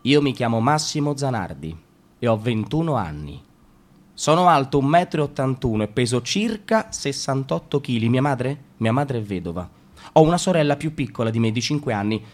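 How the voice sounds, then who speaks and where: A man's voice: mid-range voice, big pitch swings, normal speed, darkish tone, close to an everyday voice. Guy acting a cocky self-destructive 21 year old in a radio play, rambles, keeps cutting himself off. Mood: offhand, self-assured. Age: young adult. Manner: rambling, self-interrupting confessional delivery. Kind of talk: theatrical radio drama monologue.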